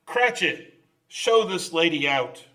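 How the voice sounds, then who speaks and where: A man's voice: on the high side, animated, takes it slowly, sharp tone, heavily acted. A man playing a cold, miserly old businessman who is curt and scornful, in old-time radio drama style. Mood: barking. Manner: curt, scornful, barking orders.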